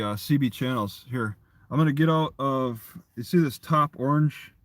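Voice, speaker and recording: Halting man rambling on a livestream. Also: medium pitch, dry recording. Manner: halting, repeats and restarts phrases. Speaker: live streamer. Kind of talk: casual rambling livestream chat.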